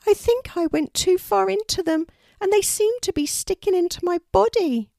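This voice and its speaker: A woman's voice: extremely high pitch, normal speed, gravelly, mellow, no room sound, studio quality, voice pushed up. A woman voicing a frightened, plaintive young female raven in a children's storybook. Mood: pained. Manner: pleading, plaintive.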